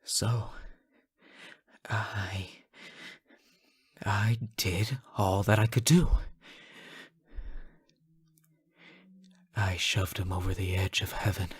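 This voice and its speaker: Man acting a low, slow, obsessive fallen angel. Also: fairly even intonation, breathy edge, heavily acted. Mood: menacing, confessional. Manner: theatrical, halting, swinging between hushed murmurs and impassioned outbursts. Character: obsessive yandere fallen angel, male, devoted, unhinged lover. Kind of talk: intimate dramatic audio roleplay monologue.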